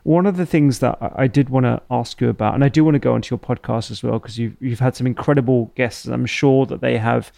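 A man hosting a podcast who asks thoughtful, halting questions with frequent restarts. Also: mid-range voice, creaky, warm tone, no room sound, clean studio sound. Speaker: podcast host. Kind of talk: conversational interview.

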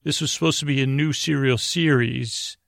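A middle-aged man with a creaky, gravelly voice hosts a sleep podcast, rambling in a halting, meandering bedtime monologue that keeps interrupting itself. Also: mid-range voice, fairly even intonation, a bit bright, no room sound, clean studio sound. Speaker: podcast host.

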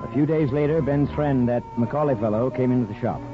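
An actor playing an older, principled small-town barber and father, plain-spoken and measured, in a 1950s radio drama. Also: medium pitch, music in the background, natural voice. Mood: resigned, gentle. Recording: muffled 1950s radio broadcast.

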